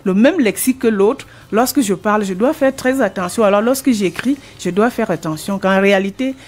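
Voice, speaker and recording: A woman's voice: medium pitch, fairly quick, darkish tone. Earnest older Cameroonian woman explaining. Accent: Cameroonian French. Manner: earnest, explanatory, with frequent restarts. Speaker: guest, retired language teacher and author. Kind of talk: interview answers.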